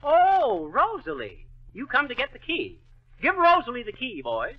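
High-pitched, blustery small-time hustler. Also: male voice, wildly swooping pitch, measured pace, exaggerated voice. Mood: brisk, joking. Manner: folksy, slangy, self-important. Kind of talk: 1930s radio comedy acting. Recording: dull vintage 1930s radio broadcast.

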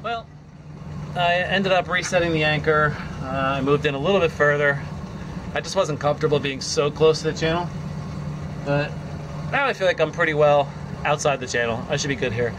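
A man's voice: on the high side, normal speed, noisy background. A man in his forties giving casual, understated vlog commentary outdoors on a sailboat, muttering brief asides into a nearby camera. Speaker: solo sailor vlogging. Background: music.

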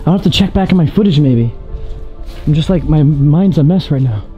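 A young man in his twenties talking excitedly to a handheld camera as he explores an abandoned sea fort, thinking aloud. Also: fairly high pitch, fairly quick, lo-fi sound, faint music behind. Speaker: urban explorer vlogger. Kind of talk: casual handheld vlog narration. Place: inside an abandoned sea fort, concrete corridors and rooftop.

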